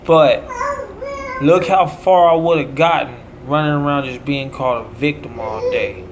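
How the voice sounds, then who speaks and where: A man's voice: fairly high pitch, normal speed, crowd noise. An American man delivering a rambling monologue in short, declamatory, preacher-like phrases. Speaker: self-promoting musician.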